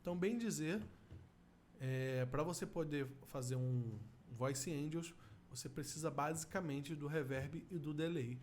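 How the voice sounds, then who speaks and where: A middle-aged man with a Brazilian accent giving an informal software tutorial as an audio effects instructor, explaining step by step. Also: fairly high pitch, measured pace. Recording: steady room noise.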